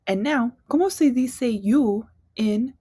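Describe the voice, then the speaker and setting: A woman's voice: a little high, animated, slow, dark tone, slightly rough, no echo, studio quality. A young woman, an English speaker, teaching a casual online Spanish lesson in a chatty way, with fillers and quiz-style prompts.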